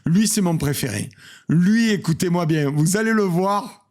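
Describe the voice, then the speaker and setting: A man's voice: high-pitched, moderate pace, darkish tone. A French man streaming a reaction video in a casual, mocking way, laughing often and repeating lines.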